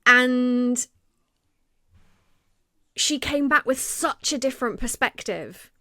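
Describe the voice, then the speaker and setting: A woman's voice: high voice, takes it slowly, no room sound, clean studio sound. A woman co-hosting a podcast, telling a work story in a conversational way and acting out quoted lines. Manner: animated, acts out quoted speech. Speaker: podcast co-host.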